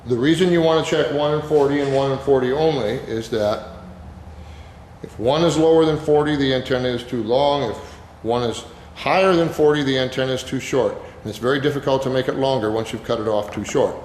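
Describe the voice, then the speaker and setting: A man's voice: mid-range voice, a little fast, darkish tone. A man giving an informal, plain-spoken, step-by-step hands-on demonstration as an instructor. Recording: very echoey reverberant room, background noise.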